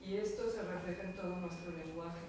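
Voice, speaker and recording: A woman's voice: fairly low pitch, fairly even intonation, a little fast. A woman from Mexico City, moderating a panel in a reflective, winding way with many restarts. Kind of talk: round-table discussion. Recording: echoey room, far from mic, background noise.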